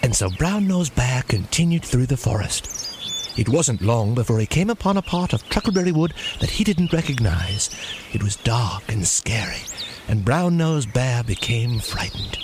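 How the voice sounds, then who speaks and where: A man's voice: medium pitch, big pitch swings, moderate pace, noisy background, lightly acted. A man playing a genteel storyteller, narrating a children's story in a theatrical, whimsical manner. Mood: suspenseful.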